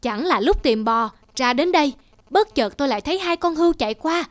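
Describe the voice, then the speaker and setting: A woman's voice: sits high, animated, brisk, no echo. A woman voicing a lazy, easily distracted, grumbling peasant husband in a folktale cartoon. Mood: excuse-making, excited.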